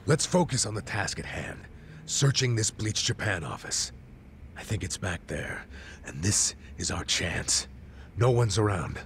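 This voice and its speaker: Deep-voiced, no-nonsense guy. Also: animated, measured pace, a bit bright, a little hiss or hum. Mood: urgent, focused. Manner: frank, no-nonsense, explanatory. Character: blunt, practical male investigator and street-level ally. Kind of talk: English video game voice acting.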